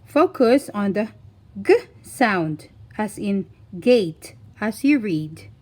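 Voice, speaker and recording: A woman reading a scripted phonics lesson for young children, deliberately enunciating single words in an instructional way. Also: mid-range voice, animated, a little mellow. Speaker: children's phonics teacher and reader.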